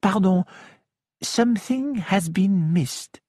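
A man voicing a small, shrewd, courteous detective in an audiobook, speaking in a measured, formally polite way. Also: sits high, animated, darkish tone, no echo, studio quality, pitched above the performer's own voice, full character voice. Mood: deliberate, insistent.

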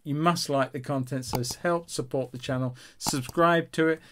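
A man's voice: a little high, steady tone, normal speed, a bit bright, a little hiss or hum. A man in his sixties hosting a painting tutorial, chatting casually and encouragingly to his viewers. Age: 60s. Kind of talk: casual instructional chat to viewers.

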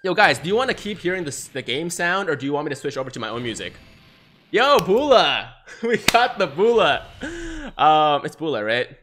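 A young man from China, a native Mandarin speaker, excitedly streaming live gaming commentary on a headset and reading chat aloud. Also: fairly high pitch, normal speed, slight background noise. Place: small room, seated in gaming chair.

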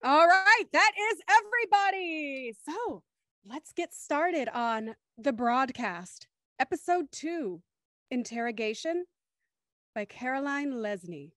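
A woman's voice: sits high, lively intonation, slow, pushed and bright, no room sound, clean studio sound. A woman hosting an online script reading and narrating scene directions. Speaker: host reading stage directions. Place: home, on a video call.

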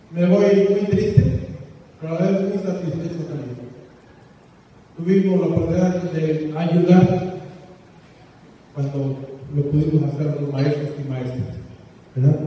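Through a dull, far-off recording in a big banquet hall comes an older man with a Mexican accent. His voice sits high for a man. He delivers a solemn thank-you speech in short, halting phrases, as if reading from notes. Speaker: retiring school official giving farewell speech. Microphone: handheld PA microphone, recorded by far camera. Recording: distant camera, echoey hall, dull, noisy.

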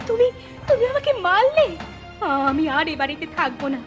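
A woman's voice: extremely high pitch, big pitch swings, fairly quick, dull audio. A woman playing a wronged, defiant wife in a cartoon melodrama, protesting with open emotion. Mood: hurt. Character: aggrieved, defiant wife of a drunkard. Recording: music behind the voice.

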